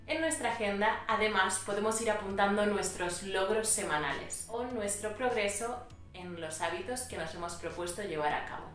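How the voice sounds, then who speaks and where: Spanish-accented woman teacher in an echoey room. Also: medium pitch. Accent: Spain Spanish. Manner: clear, patient explaining. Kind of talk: educational vlog narration. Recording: echoey room, mic at a distance.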